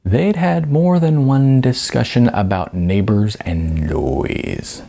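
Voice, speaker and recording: A man's voice: mid-range voice, takes it slowly, gravelly, dark tone. A man who is an author reading his own novel, narrating expressively with dramatic emphasis. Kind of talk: animated audiobook-style narration.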